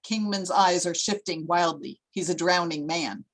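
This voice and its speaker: Low-pitched woman reading stage directions. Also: unhurried. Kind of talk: scripted screenplay narration.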